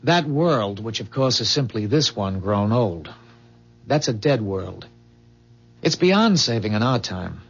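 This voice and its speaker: An actor playing a calm, earnest man from a dying future, explaining gravely in measured 1970s radio drama style. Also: lowish voice, heavily acted. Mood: solemn, earnest. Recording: dull, muffled 1970s radio drama recording.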